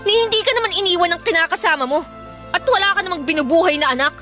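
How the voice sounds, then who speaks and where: A woman's voice: extremely high pitch, quick pace, music in the background. A woman playing an irritable, defiant elder sister and hard-up single mother in a radio drama, scolding and bluntly sarcastic. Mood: resentful, scolding.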